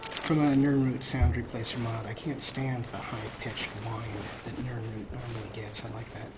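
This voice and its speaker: A man's voice: medium pitch, little pitch movement, moderate pace, very noisy. A man hosting a let's play, giving casual game commentary with wry, dry humor. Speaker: gaming video host.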